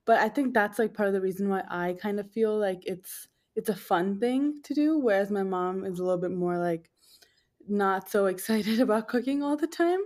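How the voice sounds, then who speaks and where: A woman's voice: medium pitch, normal speed, a little mellow. A woman in her twenties with a nasal voice, answering casually as a podcast guest. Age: 20s. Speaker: podcast guest, food blogger. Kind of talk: casual conversational interview.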